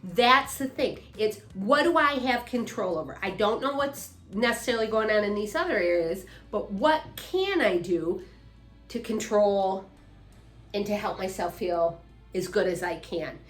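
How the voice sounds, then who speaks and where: A woman's voice: medium pitch, expressive, a little slow. A woman in her fifties co-hosting a casual chat, rambling with many restarts and fillers. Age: 50s. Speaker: co-host, mother. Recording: echoey room, faint music.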